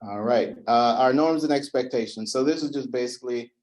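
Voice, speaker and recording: A man hosting a community meeting in a meeting room, explaining the ground rules in a relaxed way with many fillers. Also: medium pitch, brisk. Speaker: event host. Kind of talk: community presentation.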